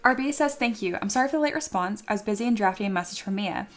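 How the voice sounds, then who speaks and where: A woman's voice: mid-range voice, quick pace. Bubbly young woman streamer. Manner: bubbly, excitable, laughs often, reads chat aloud. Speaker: streamer, 3D sculptor. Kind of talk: casual livestream chat with viewers.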